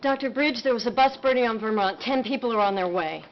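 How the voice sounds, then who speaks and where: An actress playing a busy, urgent hospital staffer in a game's medical drama. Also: female voice, on the high side, fast, close to an everyday voice. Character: busy, urgent female emergency room staffer. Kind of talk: acted medical drama dialogue. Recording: dull lo-fi game video, noisy.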